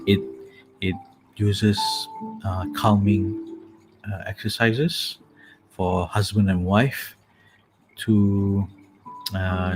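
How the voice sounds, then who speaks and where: A man with a calming, soothing voice, softly hosting a casual sleep meditation talk with many hesitant fillers. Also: lowish voice, low-quality recording. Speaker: host. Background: calming music.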